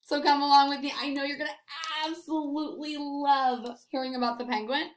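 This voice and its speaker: A woman's voice: high voice, fairly even intonation, brisk, slight background noise. A young woman teacher speaking to small children in simple, encouraging language with questions, recorded on a webcam in a room at home. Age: young adult. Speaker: elementary school teacher. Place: indoors, small apartment room. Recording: roomy home webcam sound.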